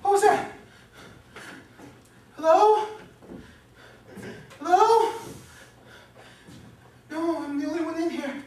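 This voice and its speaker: Panicked insecure college guy, played by a stage performer: high voice, whiny stammer, theatrical, recorded from a distance with heavy echo. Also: slow, pauses often, darkish tone. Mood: nervous, defensive. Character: panicked, insecure young male college student Oscar. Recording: distant, echoey room, faint noise.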